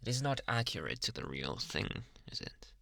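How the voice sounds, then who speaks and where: A man playing a possessive, softly menacing psychiatrist in an ASMR roleplay, coaxing in a hushed, breathy, deliberate voice. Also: sing-song, no room sound, studio quality, full character voice. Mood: coaxing, insinuating. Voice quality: breathy, gravelly low voice.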